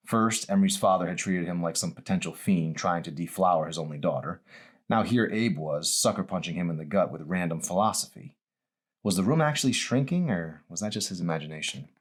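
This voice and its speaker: Male audiobook narrator, low register, author reading scripted fiction. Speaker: author reading own novel.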